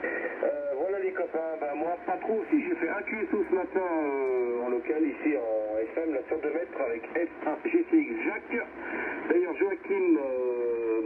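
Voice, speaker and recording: A man's voice: on the high side, moderate pace. A man chatting in a casual, rambling way as host of an amateur radio net, heard over shortwave. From France. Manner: rambling, many verbal tags. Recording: narrowband shortwave radio, clear static noise.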